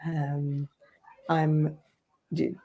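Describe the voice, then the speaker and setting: A woman's voice: sits low, level tone, drawn-out words, mellow, lo-fi sound. A middle-aged woman from India, raised in England, introducing herself casually as a guest in a candid, hesitant way. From born in India, raised in England from age eight. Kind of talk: casual self-introduction in interview.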